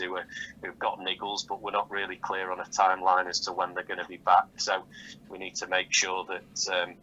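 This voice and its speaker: Deep, monotone man on a dull phone call. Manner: halting, hesitant phrasing with repeated words. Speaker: football manager being interviewed. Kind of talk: phone interview, measured answers. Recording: dull, lightly noisy phone call audio.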